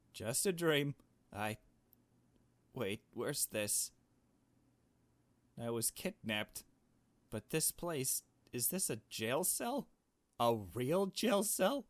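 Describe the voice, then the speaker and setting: A man voicing a frightened, earnest kidnapped young adventurer in a visual novel, speaking in a halting, pleading way. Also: mid-range voice, no room sound. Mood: startled, anxious. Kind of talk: dramatic visual novel read-aloud.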